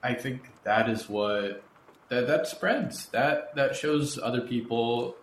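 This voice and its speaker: A man's voice: mid-range voice, takes it slowly. A man hosting an interview in a conversational style, articulate and reflective, with wordy phrasing. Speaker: podcast host and fiction creator. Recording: roomy echo, clear background noise.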